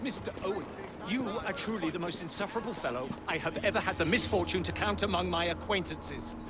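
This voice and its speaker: An actor playing an indignant older Victorian gentleman scientist with an English accent and formal, precise diction in a video game. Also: high voice, brisk, close to an everyday voice. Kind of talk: dramatic video game acting.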